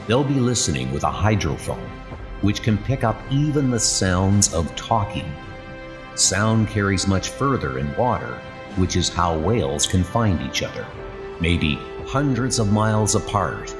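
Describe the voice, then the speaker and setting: A man voicing a young British midshipman narrating his wartime diary in a subdued, introspective way. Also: low-pitched. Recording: voice over loud background music.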